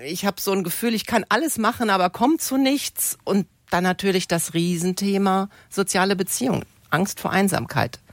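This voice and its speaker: Woman in her early sixties, creaky voice, medium pitch, dry recording, reflective interview guest. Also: moderate pace, few pauses, no room sound. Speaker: interview guest, retirement coach. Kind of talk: reflective conversational interview answers.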